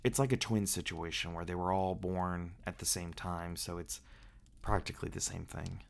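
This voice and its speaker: A man playing a gentle, protective vampire in an ASMR roleplay, murmuring softly and haltingly in an intimate way. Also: sits low, fairly even intonation, vocal fry. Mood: solemn, thoughtful. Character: gentle, protective, reclusive male vampire, tender and hesitant.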